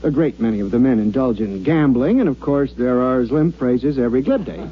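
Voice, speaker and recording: A man's voice: mid-range voice, a little fast, close to an everyday voice. Man acting a prim, shy little scientist. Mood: earnest, matter-of-fact. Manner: earnest, hesitant, prim and courteous. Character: mild, polite, shy little bespectacled male geologist. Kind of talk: 1950s radio drama acting. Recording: dull, noisy old radio recording with hum.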